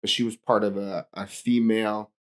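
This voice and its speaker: A man's voice: fairly low pitch, flat delivery, moderate pace. A young man from Hawaii, a pastor and podcast guest, telling stories in a casual chat and laughing often.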